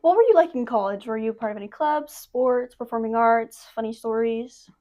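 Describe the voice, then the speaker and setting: Teen girl host, slightly high voice, friendly and curious interviewer. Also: moderate pace, dull audio. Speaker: student host. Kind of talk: casual interview questions.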